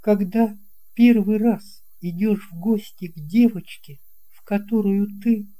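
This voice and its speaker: An earnest young schoolboy in a storybook, acted by a woman. He is shy and thoughtful, speaking in a raised pitch. He hesitates and muses as he goes. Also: unhurried, dark tone, natural voice. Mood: musing. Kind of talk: audiobook character voice.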